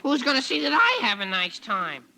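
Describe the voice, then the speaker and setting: Dopey teen boy sidekick, very high bright voice, literal-minded, vintage radio comedy, faint hiss. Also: wildly swooping pitch, normal speed, exaggerated voice, low-quality recording. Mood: puzzled, whiny. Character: dim, deadpan, contrary teenage boy sidekick. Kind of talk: 1940s radio sitcom acting.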